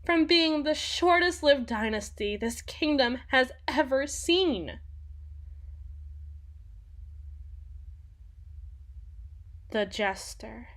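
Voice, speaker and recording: A haughty young empress voiced by a woman holds forth in a theatrical audio roleplay. Her voice sits fairly high and she takes her words very slowly, leaving deliberate dramatic pauses. She is imperious and mocking, with a possessive, menacing edge. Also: a bit bright, heavily acted. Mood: cold, mocking.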